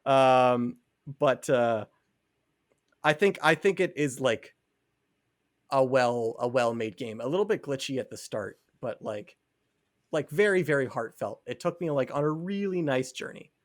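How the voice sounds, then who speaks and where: A man co-hosts a casual podcast in a mid-pitched voice. He rambles conversationally, leaning on filler words as he goes. The sound is dry and close. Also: animated, a little slow, no room sound. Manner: rambling, filler-heavy conversational delivery with frequent "like". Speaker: podcast co-host. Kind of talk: casual chat.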